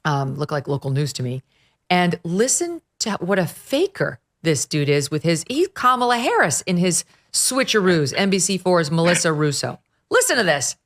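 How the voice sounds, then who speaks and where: A woman's voice: fairly low pitch, big pitch swings, normal speed. A woman hosting a political talk show, sarcastic and emphatic in her commentary. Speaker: host. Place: at the beach.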